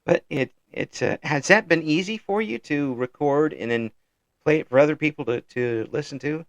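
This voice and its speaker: Older male interview host, gravelly and easygoing, halting delivery, dry close mic. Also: a little high, no echo, dull audio. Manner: easygoing, halting with frequent ums and restarts. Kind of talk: casual interview chat.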